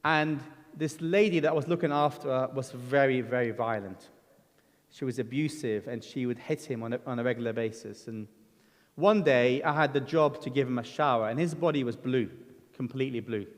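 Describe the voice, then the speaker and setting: A man's voice: medium pitch, normal speed. Man preaching in an echoing church. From Lebanon. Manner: earnest, conversational storytelling with frequent ums and restarts. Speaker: guest preacher. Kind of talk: sermon with personal testimony. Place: church hall. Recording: church hall reverb.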